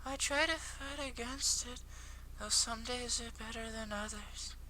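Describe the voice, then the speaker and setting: A man voicing a kind, earnest high school girl, politely apologetic and gently teasing, in a visual novel. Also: fairly even intonation, measured pace, background noise. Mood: earnest, encouraging. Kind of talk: visual novel character read aloud.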